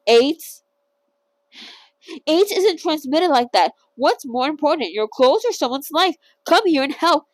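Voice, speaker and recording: A woman's voice: high-pitched, lively intonation, a little slow, dry recording, pitched above the performer's own voice. A woman voicing a stern, commanding male former doctor in a storybook reading. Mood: furious. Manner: curt, commanding.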